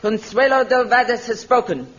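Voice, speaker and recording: A woman's voice: fairly high pitch, normal speed, fairly bright tone, natural voice. An actress playing a merciless warrior queen in a vintage radio adventure serial, with commanding, haughty, formal pronouncements. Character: imperious, merciless warrior queen of women's fortress. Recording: muffled old radio recording, background din.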